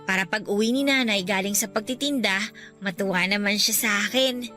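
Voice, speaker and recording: A woman's voice: mid-range voice, a little fast, over music, lightly acted. A woman playing a timid, dutiful young girl in a radio drama, with a polite, pleading manner. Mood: dutiful, uneasy.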